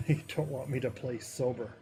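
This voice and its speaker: A man's voice: normal speed, loud music behind the voice. Man streaming a game, harsh voice. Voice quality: higher, harsher voice. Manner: rambling, many fillers and restarts. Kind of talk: casual live-stream chatter.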